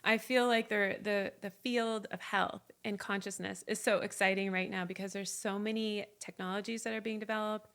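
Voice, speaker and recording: A woman's voice: mid-range voice, steady tone, moderate pace, hardly any pauses, a bit bright, clean tone. A woman co-hosting a podcast, talking in an upbeat, earnest way about health. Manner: upbeat, earnest, repeats "right?". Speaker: podcast co-host. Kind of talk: casual podcast conversation.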